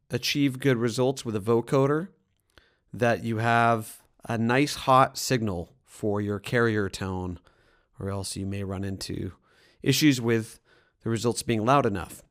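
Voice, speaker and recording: A man presenting a software tutorial as a music production trainer, explaining things in an informal way. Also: mid-range voice.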